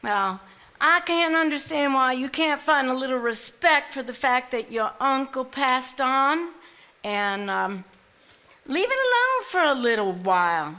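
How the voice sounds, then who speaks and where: A woman's voice: high voice, big pitch swings, a little slow, sharp tone, smooth, full character voice. An actress playing a folksy, exasperated older woman of the household in a stage drama, with country turns of phrase. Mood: exasperated scolding. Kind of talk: live stage acting.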